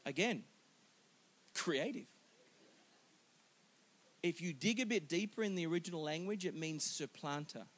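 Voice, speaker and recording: A man in his forties preaching a sermon as a pastor, animated and emphatic, joking with his congregation. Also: fairly high pitch, a little slow, long pauses.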